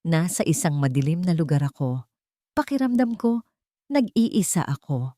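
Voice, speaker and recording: A woman narrator acts out a young mother and survivor in an audio drama. Her voice is low and lively in pitch, steady and sincere. The sound is dry and close. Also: normal speed, dry recording. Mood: resolute, hopeful. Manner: clear, steady, earnest. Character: resilient young mother, survivor turned earnest advocate.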